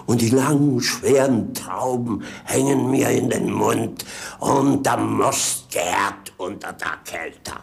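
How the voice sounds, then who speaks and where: A man's voice: medium pitch, takes it slowly, gravelly, breathy edge, heavily acted. An actor in a 1950s German radio drama playing a jolly, wine-loving, mocking servant, theatrical and savouring his words. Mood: rapturous.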